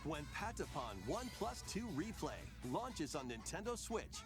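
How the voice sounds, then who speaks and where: A man's voice: on the high side, big pitch swings, a little slow. Polished male trailer narrator. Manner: polished, announcer-like delivery. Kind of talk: scripted promotional narration. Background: trailer music.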